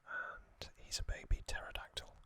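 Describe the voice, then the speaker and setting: A man whispering as an ASMR host, recounting trivia in a soft, rambling way with frequent pauses and ums. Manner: rambling, personal recollections.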